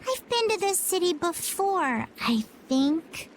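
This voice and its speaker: A woman's voice: very high voice, big pitch swings, slow pace, slight background noise, heavily acted. A woman voicing a small, self-assured young girl seafarer in a video game, plucky and matter-of-fact. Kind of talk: animated video game dubbing.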